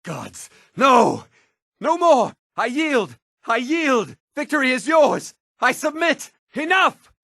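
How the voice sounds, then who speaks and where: A man's voice: extremely high pitch, very animated, takes it slowly, creaky, fairly bright tone, no echo, studio quality, full character voice. A haughty, sneering fantasy townsman, swooping delivery. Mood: panicked surrender. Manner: condescending, smug and theatrical. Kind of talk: video game combat and reaction barks.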